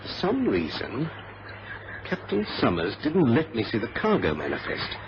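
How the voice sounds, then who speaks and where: An actor playing a suspicious, determined airline man in an old radio adventure, emphatic and halting as he reasons things out. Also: medium pitch, wildly swooping pitch. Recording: muffled, noisy vintage radio recording.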